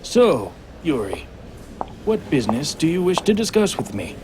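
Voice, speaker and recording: An actor playing a genial, protective king in an audio drama, courteous and gently teasing. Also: on the high side, big pitch swings, normal speed. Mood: concerned. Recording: noticeable background noise.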